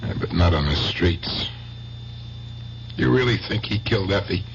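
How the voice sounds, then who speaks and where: Sad doubting man, slow talker, old radio drama, bit of hiss. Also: low voice, lively intonation, creaky, full character voice. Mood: mournful, doubting. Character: grieving, troubled man named Pete, somber and doubting. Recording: dull old radio recording, faint noise.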